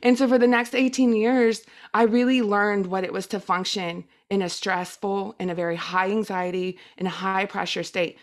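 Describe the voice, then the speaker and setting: A woman's voice: mid-range voice, level tone, normal speed, hardly any pauses. Middle-aged female guest speaker, first language Spanish, mid-range voice, earnest and emphatic personal storytelling. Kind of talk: personal testimonial talk.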